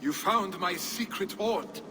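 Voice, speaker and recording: An actor playing a carefree, boastful young Viking warrior, the son of a jarl, with a teasing, jovial manner. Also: male voice, high-pitched, slow pace. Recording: game cutscene with ambient noise.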